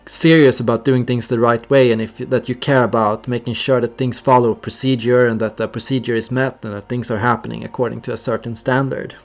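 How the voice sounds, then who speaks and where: Gravelly-voiced young Swedish man explaining. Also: medium pitch, little pitch movement, fairly quick, few pauses, faint music behind. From Sweden. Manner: earnest, explaining and acting out gestures. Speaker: streamer. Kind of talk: casual explanatory livestream talk.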